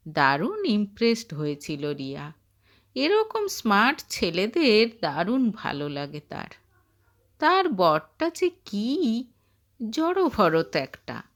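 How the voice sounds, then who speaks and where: A woman reads a short story aloud as an audio narrator. Her voice sits fairly high and moves with lively rises and falls. She takes the text very slowly, with expressive phrasing throughout.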